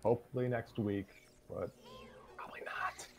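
Low-pitched man in his twenties. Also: fairly even intonation, moderate pace, creaky, whispered. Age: 20s. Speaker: host of a story-reading voice show. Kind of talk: casual chat, project update.

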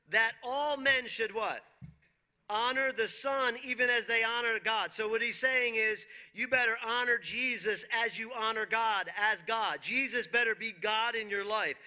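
Bright, high-voiced preaching man. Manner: emphatic preaching with rhetorical questions, reads scripture aloud. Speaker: pastor. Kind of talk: sermon. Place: church stage, dark brick wall behind.

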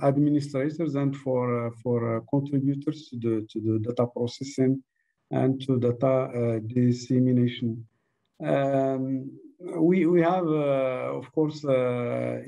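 Halting man presenting over a video call. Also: mid-range voice, vocal fry, mellow, lo-fi sound. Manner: halting, many fillers and restarts. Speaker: statistics office presenter.

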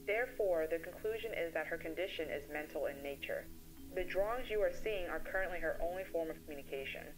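A woman playing a composed child therapist, giving a measured, professional case briefing to a colleague in an analog horror voice-over. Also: lowish voice, close to an everyday voice, low-quality recording. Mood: grave, clinical.

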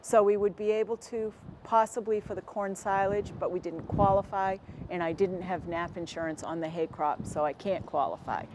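Female, forties, American; mid-pitched voice, unscripted interview answers, halting and matter-of-fact, slight background noise. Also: steady tone. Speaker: dairy farmer being interviewed. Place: inside a barn with hay bales. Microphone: lapel mic.

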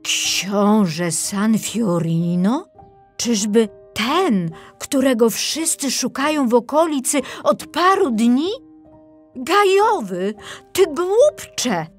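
A woman portrays an old gentleman marquis in a storybook for children. He is benevolent and indulgent, and takes his time over every phrase. Light music plays behind. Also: a little high, animated, extremely slow, voice pushed up. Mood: astonished. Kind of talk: children's storybook character acting.

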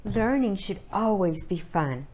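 A middle-aged woman presents children's learning activities to parents. She speaks slowly, at a medium pitch for a woman, in simple, deliberate phrases broken by short pauses. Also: animated, a little mellow. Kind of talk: demonstration talk to parents. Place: indoors at a table by a brick fireplace.

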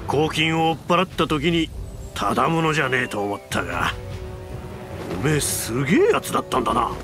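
An actor playing a burly, brash, friendly warrior in a video game, with rough, boisterous colloquial speech. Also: male voice, a little high, very animated, fairly quick, soft background music. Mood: hearty, brash. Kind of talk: video game cutscene voice acting.

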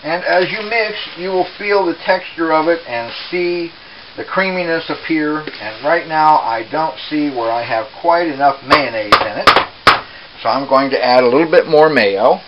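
A man presenting a casual home cooking demonstration in an unhurried, explanatory way, recorded in a kitchen. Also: fairly high pitch. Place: small home kitchen, indoors. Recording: dull, hissy home camera audio.

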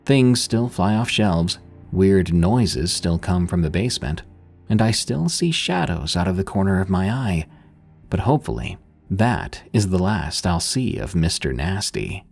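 A man narrating true scary stories in a slow, deliberate, suspenseful scripted reading. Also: low-pitched, big pitch swings, gravelly, a little mellow, breathy edge, faint music behind. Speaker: horror story narrator.

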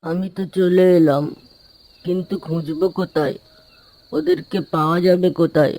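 A naive young village lad in a cartoon, acted by a man, with a fairly high, soft-edged voice. He sounds headstrong and lazy. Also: steady tone, moderate pace, creaky. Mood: stubborn, musing.

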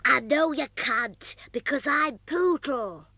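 A woman's voice: high voice, expressive, takes it slowly, bright, forceful tone, no room sound, pitched above the performer's own voice, heavily acted. A woman doing an eager little puppet kid. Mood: eager curious. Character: small curious young puppet child.

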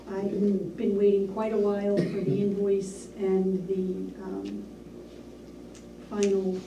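A woman reporting to a town board in a measured, businesslike way with frequent ums, recorded in a small municipal meeting room by a distant camera mic. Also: mid-range voice, echoey room, noisy background. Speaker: town administrator. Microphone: far room camera.

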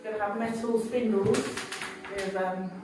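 A woman's voice: mid-range voice, fairly even intonation, a little slow, noisy background. A woman in her thirties giving a casual walk-and-talk house tour as a vlogger, recorded indoors in empty rooms on a camera several metres away. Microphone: camera held by another person, several metres away. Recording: echoey empty rooms, distant mic, background music. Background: music.

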